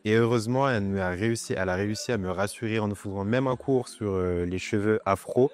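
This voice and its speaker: A young man in his twenties with a very low voice answers interview questions at a gallery. He talks hesitantly and leans on many fillers. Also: fast. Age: 20s. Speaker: student model. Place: art gallery.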